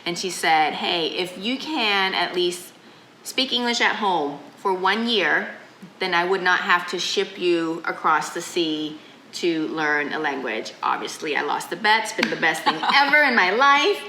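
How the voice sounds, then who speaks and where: A woman's voice: lowish voice, animated, unhurried, pushed and bright, reverberant, slight background noise, poor audio. A woman who grew up in Thailand with Thai as her first language, a chef and restaurant owner chatting in an interview and laughing often. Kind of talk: casual interview.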